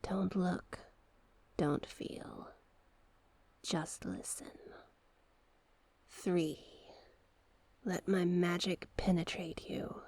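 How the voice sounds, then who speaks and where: A woman voicing a seductive, manipulative sea witch in an ASMR roleplay, doting yet sinister, with a hushed, breathy, coaxing delivery. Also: lowish voice, steady tone, no room sound, full character voice. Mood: hypnotic, whispering. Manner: slow, coaxing, affectionate endearments. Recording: noticeable background noise and ambience.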